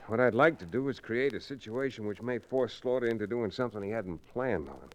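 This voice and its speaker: A man's voice: mid-range voice, brisk, natural voice. A man playing a cool, wry frontier hero with a folksy drawl in an old-time Western radio drama. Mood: serious, calm. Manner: calm, dryly taunting, folksy.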